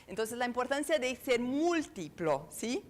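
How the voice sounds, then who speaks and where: A woman's voice: on the high side, very animated, unhurried. A woman with an Argentine Spanish accent giving a conference talk, searching for her words with many fillers and restarts and checking in with the audience. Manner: asks the room for agreement with tag questions. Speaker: conference speaker on astrology. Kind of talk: lecture to a live audience.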